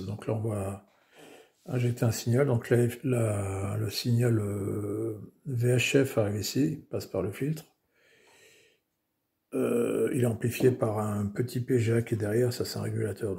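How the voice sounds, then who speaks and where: A hoarse man casually showing his homemade radio modules, talking with many fillers and restarts. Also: fairly low pitch, warm tone. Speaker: amateur radio builder. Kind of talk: casual technical show-and-tell.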